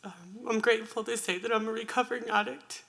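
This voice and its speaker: Loud gravelly-voiced woman, grandma and peer support worker, emotional and earnest. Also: a little high, flat delivery, quick pace, slight background noise. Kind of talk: panel introduction at a public forum.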